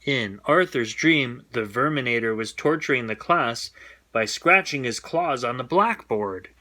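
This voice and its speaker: A man reading a children's bedtime story aloud in an expressive storytelling style. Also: medium pitch, a little slow.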